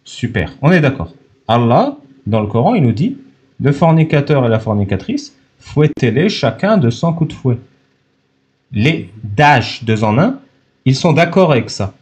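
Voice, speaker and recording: A man's voice: mid-range voice, sing-song, measured pace, darkish tone, lo-fi sound. A man hosting a heated live-stream debate, blunt and insistent.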